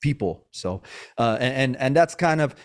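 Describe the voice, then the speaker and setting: A man's voice: mid-range voice, animated, moderate pace. A man hosting a podcast interview, born in Iran and raised in Maryland, talking casually with lots of fillers and restarts. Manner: rambling, with many fillers and restarts. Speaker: podcast host. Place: Sacramento, California.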